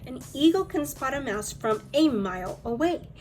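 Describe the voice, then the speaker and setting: A woman's voice: high-pitched, lively intonation, moderate pace, darkish tone. A woman teacher leading a read-aloud lesson for young children, speaking in an encouraging way. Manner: encouraging, addressing young pupils. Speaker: elementary school teacher.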